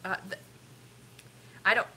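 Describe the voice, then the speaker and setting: A woman's voice: a little slow, bright, forceful tone, slight background noise, poor audio. A middle-aged woman with a stuffy, sniffly nose, rambling casually with lots of ums while hosting a family live stream. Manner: rambling, chatty, with frequent ums.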